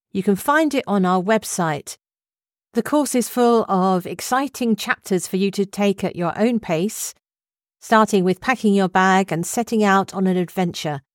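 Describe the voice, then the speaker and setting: A woman hosts a podcast in a conversational way. Her voice sits at a middle pitch. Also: lively intonation, unhurried. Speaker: podcast host. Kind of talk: conversational podcast interview and promo.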